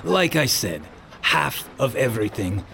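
An actor playing a blunt, mocking ex-soldier and older brother in an audio drama, with a theatrical delivery. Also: male voice, medium pitch, very animated, a little slow, vocal fry, a bit bright, heavily acted. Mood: amused, bossy. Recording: faint background noise.

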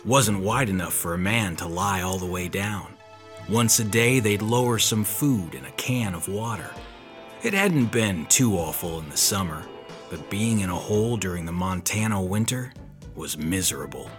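A man playing a tough, sardonic ex-convict private eye in a hardboiled pulp audio drama, with deadpan delivery. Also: sits low, moderate pace, over music. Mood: brooding. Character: tough, wry ex-convict private detective with magic.

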